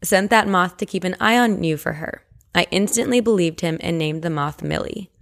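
Low-pitched woman co-hosting a podcast. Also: moderate pace, vocal fry. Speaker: podcast co-host. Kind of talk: casual chat, reading listener stories aloud.

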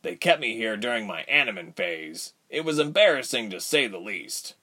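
A man voicing a devoted male prisoner in an audiobook story, quiet and earnest in manner. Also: fairly low pitch, expressive, normal speed. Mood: explaining. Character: devoted male captive, quiet, thoughtful, teasing.

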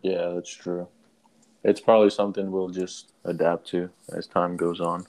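A young man from Afghanistan co-hosting a casual podcast in an easygoing, even manner. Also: low-pitched, moderate pace. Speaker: podcast co-host.

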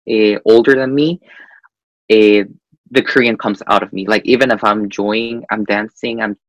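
A young man from Guatemala speaks as an interview guest, often pausing on "eh" as he thinks. His voice sits a little low, and the recording is dry and close, with no room sound. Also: no echo, low-quality recording. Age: young adult. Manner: hesitant, frequent "eh" fillers, animated. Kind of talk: casual interview chat.

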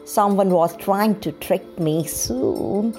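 A woman reading a scripted first-person story in a deliberate, dramatized storytelling manner. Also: mid-range voice, warm tone, faint music behind. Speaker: storyteller, podcast host.

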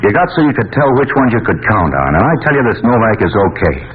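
An American actor playing an earnest middle-aged war veteran turned bank loan officer in a 1940s radio drama, plain-spoken and a little hesitant. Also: male voice, on the low side, sing-song, fast. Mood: earnest, insistent. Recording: tinny, noisy old radio broadcast.

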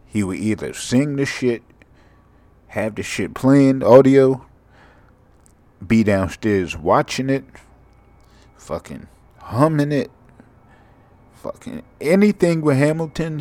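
A sleepy young man with a monotone, mumbly voice, hosting a rambling, profane solo podcast. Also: mid-range voice, slow pace, long pauses, no echo. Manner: casual, profane, drifting from thought to thought. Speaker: podcast host.

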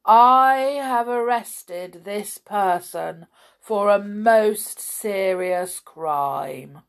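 A stern storybook soldier, acted by a woman, delivers every word very slowly and heavily. He sounds gloomy, solemn and ponderous, as if each line were an official charge. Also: mid-range voice, creaky.

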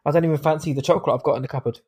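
A man in his thirties with a British accent, hosting a podcast in a chatty, jokey way, his voice light rather than deep. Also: fairly even intonation, very fast, a little mellow, slightly rough. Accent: British English. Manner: chatty, jokey, laughs often. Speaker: podcast host. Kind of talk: casual chat.

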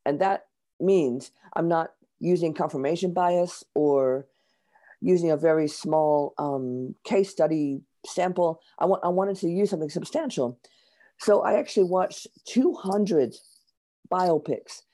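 A hoarse, middle-aged woman with an American accent, answering interview questions in a chatty, explanatory way with some hesitation. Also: deep voice, measured pace, dark tone. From Michigan, USA. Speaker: guest author.

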